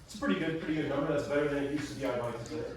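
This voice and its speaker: A man giving a lively museum talk with slides to an audience, chatty and energetic. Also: a little high, racing. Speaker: museum staff presenter. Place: meeting room with audience. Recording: echoey room, far from mic.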